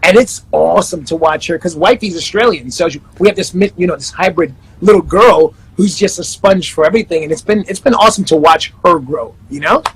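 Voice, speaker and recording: A man's voice: fairly high pitch, a little fast, hardly any pauses, husky, poor audio. A man in his thirties, a life coach, chatting upbeat in an interview over a video call. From Panama and the Netherlands. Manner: upbeat, self-promoting, many restarts. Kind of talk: casual interview chat.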